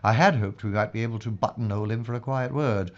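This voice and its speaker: An actor playing an earnest, methodical police inspector in an audio drama, speaking formally and deliberately with slight hesitations. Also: male voice, on the low side, highs cut off, close to an everyday voice. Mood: measured.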